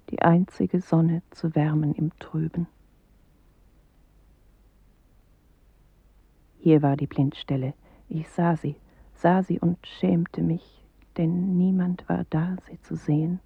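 A solemn, haunted female inner voice for a poetic radio play. She recites slowly and low in a breathy hush. The sound is dry and close. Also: no echo, phone-quality sound, exaggerated voice. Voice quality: breathy, husky. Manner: slow, hushed, solemn recitation. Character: inward female self, a solemn first-person poetic voice.

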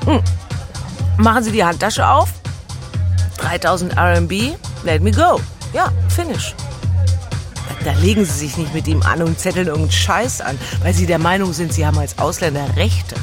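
An actress playing a blunt, worldly German expatriate manager, talking casually and dropping in bits of English, with music underneath. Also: female voice, fairly low pitch, lively intonation, moderate pace, close to an everyday voice. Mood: matter-of-fact, hardened. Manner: blunt, colloquial, drops in English phrases. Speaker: turnaround manager. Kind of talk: documentary-style monologue, casual talk.

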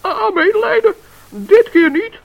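A squeaky gravelly little gnome. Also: male voice, lively intonation, measured pace, a little hiss or hum, heavily acted. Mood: earnest. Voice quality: very high, gravelly. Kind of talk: radio play acting. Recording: dull lo-fi radio play recording.

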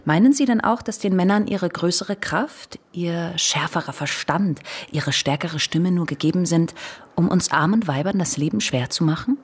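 A woman playing a coquettish young lady in a radio play, breathy and husky, teasing with gentle, plaintive reproach. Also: lowish voice, normal speed. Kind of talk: German radio play acting.

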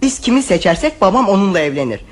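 An actress playing a cheeky, bossy young boy in a radio play, excitable and pushy. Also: medium pitch, fast, slight background noise, close to an everyday voice. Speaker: radio drama actor.

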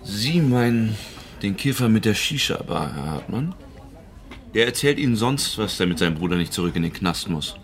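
A man playing a smug, evasive state-security policeman in a radio crime drama, measured and condescending. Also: fairly low pitch, sing-song. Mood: smug, knowing. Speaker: actor playing a police officer.

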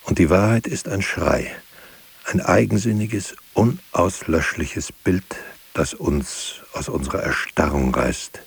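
A man playing a grave, searching writer in a literary radio drama, speaking in a measured, solemn and at times hushed way. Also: sits low, creaky, breathy edge, exaggerated voice. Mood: grave, confessing.

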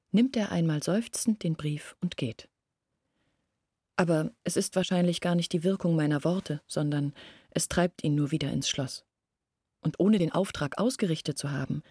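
An actress playing an anxious, reflective village woman in a literary radio play, earnest and deliberate, with a husky, breathy voice. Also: deep voice, warm tone, dry recording, studio quality. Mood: troubled, doubting. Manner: earnest, deliberate, searching, questioning herself. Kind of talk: literary radio play acting, long monologues. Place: dry studio.

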